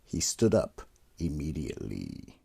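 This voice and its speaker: Sinister vault keeper for a radio horror play: very deep, raspy, unhurried. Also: male voice, very animated, a little mellow, a little hiss or hum, heavily acted. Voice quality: deep gravelly rasp. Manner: slow, ominous, drawn-out delivery. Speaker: horror anthology narrator. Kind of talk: 1940s-style radio horror narration.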